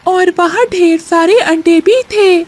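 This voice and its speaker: A woman voicing a small, excitable male parrot with an earnest manner in a children's cartoon. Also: very high voice, takes it slowly. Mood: excited, urgent. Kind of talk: Hindi children's cartoon voice acting.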